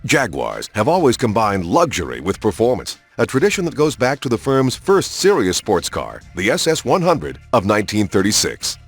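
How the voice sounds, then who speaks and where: A man reading scripted promotional documentary narration in a polished, measured announcer style. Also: on the low side, wildly swooping pitch, breathy edge. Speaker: narrator of a racing game's car showcase. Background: music.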